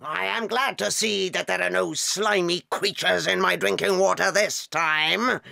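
An actress playing a tyrannical middle-aged headmistress in an audio drama, harsh, sneering and pompous. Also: female voice, lowish voice, measured pace, vocal fry, sharp tone, no echo, studio quality, faint music behind, exaggerated voice. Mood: sneering menace.

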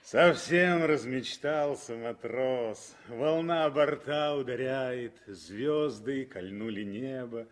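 A man's voice: mid-range voice, slow, hardly any pauses, close to an everyday voice. A man as the lyrical storyteller narrating a 1960s Soviet radio play in dramatic, scripted style. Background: music.

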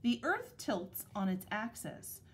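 Slow-reading woman teacher, children's picture book. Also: mid-range voice, very animated, a little hiss or hum. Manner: measured, deliberate phrasing. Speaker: elementary school teacher reading aloud. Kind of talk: read-aloud picture book narration for children.

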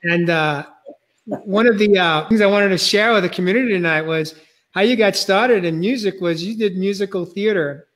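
Host, man in his 50s, New England accent, high-pitched, rambling prompts over a webcam call. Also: normal speed. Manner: rambling, filler-heavy, warm prompting. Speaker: podcast host. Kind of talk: casual video-call interview. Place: home room, indoors. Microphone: video call webcam.

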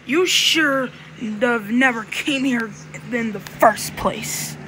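A menacing clawed animal villain from a fan-made horror game, acted out by a woman. The voice is fairly high and drags very slowly through each taunting threat. It is a homemade recording with faint noise. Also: pushed and bright, rough recording. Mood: threatening. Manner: slow, taunting, threatening delivery.

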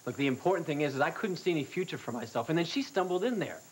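A man's voice: fairly high pitch, lively intonation, a little hiss or hum, close to an everyday voice, low-quality recording. An actor playing a troubled young man on a 1990s daytime soap who dodges his father and speaks defensively, stumbling over his words. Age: young adult. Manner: defensive, halting, restarts. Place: indoor studio set.

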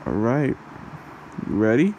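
A man voicing a possessive, taunting demon husband in an ASMR roleplay, with a mocking manner and a gravelly voice. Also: mid-range voice, big pitch swings, unhurried, darkish tone, dull audio, slight background noise.

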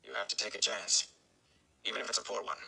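A man playing a cautious, deliberate male village mayor in a storybook, speaking hesitantly. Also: sits low, animated, gravelly, pushed and bright, heavily acted, poor audio. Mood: grave, hesitant. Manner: slow, hesitant.

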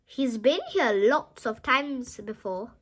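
A woman voicing a kind, cheerful mother pig from a storybook in an animated, reassuring manner. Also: fairly high pitch, slow pace, pitched below the performer's own voice.

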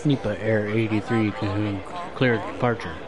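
A man speaking terse, deliberate voice commands as an air traffic controller in a game. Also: fairly low pitch, steady tone.

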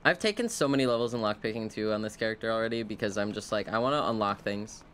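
A man streams a video game with a bright, forward voice. He talks casually and playfully, tossing asides to his viewers. Game noise is clearly audible behind him. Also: on the low side, fast talker. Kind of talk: casual live gameplay commentary. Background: video game sound.

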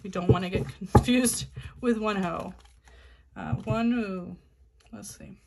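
A woman talking straight to her viewers, loose and chatty, full of little ums and self-corrections. Her pitch sits in the middle for a woman. She sounds like a hobby host explaining a card reading. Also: slow, vocal fry, very noisy. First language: not Korean. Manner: chatty, many ums and restarts. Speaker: tarot reader, channel host. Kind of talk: casual talk to camera.

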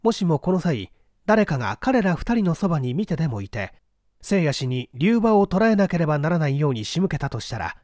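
Male narrator for mystery audiobook: formal, measured storytelling, expressive pitch movement, dry recording. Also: no echo. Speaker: audiobook reader. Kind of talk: scripted literary narration of a period detective novel.

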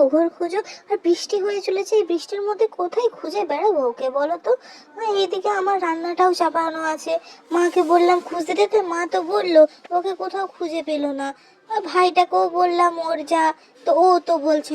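A woman's voice: very high voice, slight background noise. A woman voicing a harried village mother in a cartoon, chiding in an exasperated way.

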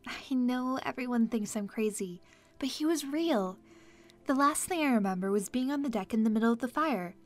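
A woman's voice: a little high, lively intonation, normal speed, soft background music, natural voice. A woman playing a kind, earnest young princess in an audio drama. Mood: wistful, earnest.

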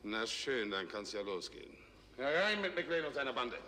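A stern middle-aged general of a space fleet in a 1960s science fiction series. His voice is forceful and medium-pitched, clipped and official, ringing in a long reverb on a noisy old soundtrack. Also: big pitch swings, moderate pace. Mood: brisk, official. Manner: clipped, formal, authoritative. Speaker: actor.

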